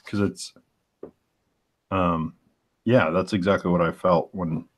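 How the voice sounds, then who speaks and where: Male comic artist, forties, very low voice, thoughtful and searching delivery in casual stream chat. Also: fairly even intonation, takes it slowly. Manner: thoughtful, searches aloud for the right words.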